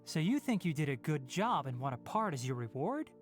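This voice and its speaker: Light-voiced, aloof young ranger. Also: male voice, fairly high pitch, very animated, fairly quick. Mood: wry, curious. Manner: clinical, matter-of-fact, articulate. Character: calm, aloof young forest ranger, quietly caring. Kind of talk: English game voice acting. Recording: game music behind the voice.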